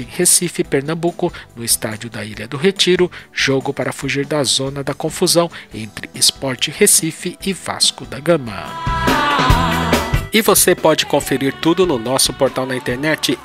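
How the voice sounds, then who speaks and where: A man's voice: on the high side, a bit bright. A man with a Brazilian accent hosting a sports program, methodically reading scripted standings and fixtures in an announcer's manner. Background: background music.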